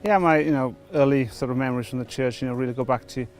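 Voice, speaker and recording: A man's voice: mid-range voice, fast, darkish tone, faint music behind. A middle-aged Welsh man from Wales, giving a personal testimony before his baptism, hesitant with many fillers and restarts. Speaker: church member before baptism. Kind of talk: testimony interview.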